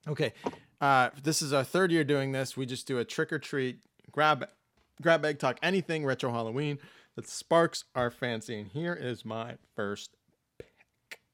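A man's voice: medium pitch, a little slow, fairly bright tone, no echo. A laid-back man hosting a podcast, chatting casually and laughing as he rambles. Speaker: podcast host. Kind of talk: casual chat.

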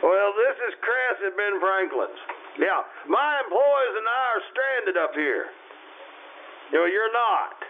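A man's voice: high-pitched, expressive, takes it slowly, heavily acted. A man playing a harried small-town 1950s store manager in an old-time radio play. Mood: hurried, friendly. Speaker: amateur radio troupe actor. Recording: tinny, noisy radio-style band, clicks.

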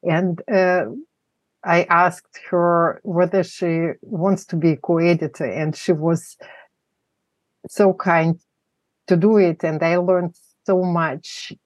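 Russian-accented woman, slowish lowish voice, keeps pausing w uh and um, dry close sound. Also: little pitch movement, dry recording. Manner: halting, many pauses and fillers. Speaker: family therapist, guest. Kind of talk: unscripted interview answers.

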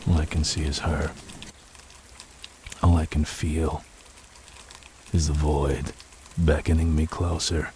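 A man playing a world-weary, drunken, nihilistic film noir detective in a brooding, deadpan radio monologue. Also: very deep voice. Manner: slow, brooding, deadpan narration. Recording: noisy, rain sound behind. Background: rain.

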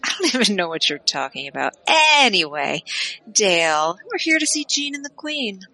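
A woman's voice: mid-range voice, big pitch swings, moderate pace, creaky, pushed and bright, heavily acted, rough recording. A woman playing a cheeky, sweet-talking streetwise crew member with a teasing, coaxing manner in an audio drama.

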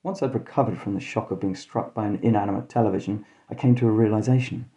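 A man with a very dark, mellow and fairly low voice narrates a spoof detective horror story. He reads as a storyteller, deadpan yet mock-dramatic. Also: fast talker. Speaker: podcast host and storyteller. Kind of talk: scripted comic horror narration in mock-detective first person.

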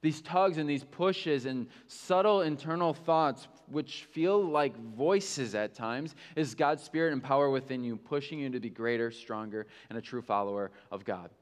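A man's voice: medium pitch, moderate pace, hardly any pauses, long reverb tail. A male pastor preaching a sermon in a candid, conversational way, with self-mocking asides.

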